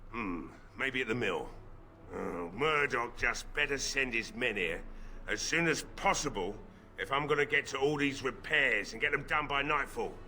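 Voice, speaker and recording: A man's voice: mid-range voice, animated, moderate pace, sharp tone. A man voicing a grieving, drunk middle-aged village blacksmith who sounds weary and bitter. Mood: grumbling. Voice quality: bitter, weary, slurring drink-talk. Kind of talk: video game voice acting.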